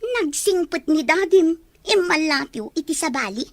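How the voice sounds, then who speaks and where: A woman's voice: extremely high pitch, animated, measured pace. A woman acting a nosy, blunt auntie in an audio drama. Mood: probing. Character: nosy, blunt older female relative, an auntie.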